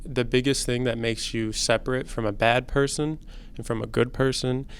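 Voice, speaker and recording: A young man in his twenties hosts a laid-back student podcast. His voice is smooth and resonant, pitched right in the middle, and he keeps things easygoing with slang and filler words. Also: moderate pace. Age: 20s. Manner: relaxed, chatty, loose with slang and fillers. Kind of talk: casual conversation.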